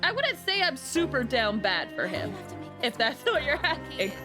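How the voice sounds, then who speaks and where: A woman chatting casually to viewers as she hosts a game livestream, in an animated, chatty manner, sounding a little tired. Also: high voice, moderate pace. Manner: animated, chatty, with excited exclamations. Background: background music.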